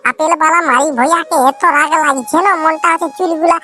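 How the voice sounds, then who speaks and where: A man's voice: extremely high pitch, steady tone, brisk, bright, forceful tone, faint music behind. A man voicing an exasperated, blunt village mother in a comedy cartoon, with a Purulia Bengali accent. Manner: scolding, blunt, grumbling. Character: exasperated, scolding village mother, quick to threaten.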